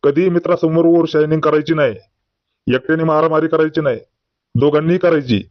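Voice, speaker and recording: Man voicing old village braggart, highish voice, earthy scolding talk, cartoon comedy, dull dry recording. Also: steady tone, brisk, no room sound, natural voice. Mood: storytelling. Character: boastful old village man, grieving, exasperated, telling tall tales.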